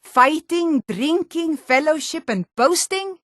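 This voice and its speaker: A woman's voice: high voice, lively intonation, takes it slowly, fairly bright tone, no echo, studio quality, heavily acted. A woman playing a proud, hearty Nord warrior in a fantasy game, declaiming solemnly in lofty, archaic, bardic phrases.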